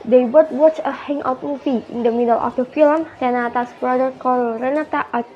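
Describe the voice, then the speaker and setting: A woman's voice: sits high, fairly even intonation, fairly quick, dull audio, slight background noise. A young adult woman with an Indonesian accent reading scripted narration for a radio drama.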